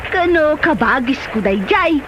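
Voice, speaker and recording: Casting: actress as meddling middle-aged mother, Ilocano accent, high voice, comedy drama, noisy location sound. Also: animated, a little slow. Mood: inquisitive, chatty. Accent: Filipino Ilocano. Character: chatty, meddling, well-meaning mother and auntie.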